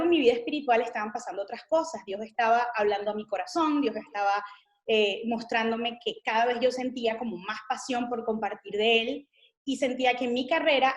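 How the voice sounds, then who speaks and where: A Venezuelan woman in her thirties speaks with a Venezuelan Spanish accent and a voice that sits a little on the high side. She tells her own testimony earnestly and reflectively, with frequent small fillers. The room around her is echoey. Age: 30s. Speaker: missionary guest. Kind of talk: interview, personal testimony.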